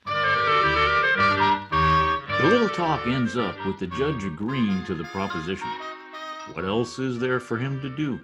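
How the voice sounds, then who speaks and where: An actor playing a wry, deadpan Broadway go-between who also narrates, in old-time radio comedy style. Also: male voice, mid-range voice, a little slow, pauses often, over loud music, natural voice. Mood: deadpan narrating.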